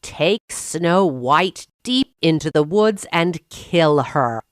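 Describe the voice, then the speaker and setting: A woman's voice: low-pitched, expressive, slow pace, dry recording, studio quality. A woman playing a proud, jealous evil queen in a children's fairy tale, imperious and theatrical. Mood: commanding.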